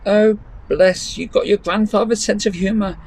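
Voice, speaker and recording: A man's voice: sits high, steady tone, normal speed, a little mellow, slight background noise, pitched above the performer's own voice, rough recording. A man voicing a doting, teasing elderly grandmother in a storybook, affectionate and playful.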